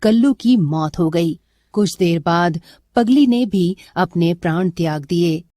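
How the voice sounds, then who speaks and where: A woman's voice: lowish voice, takes it slowly, warm tone, no echo, studio quality. A woman narrating a scripted dramatic story as a storyteller.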